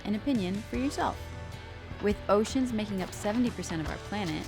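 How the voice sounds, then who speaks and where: Woman reading a promo over music. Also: medium pitch. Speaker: promo announcer. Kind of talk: scripted promotional narration. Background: guitar music bed.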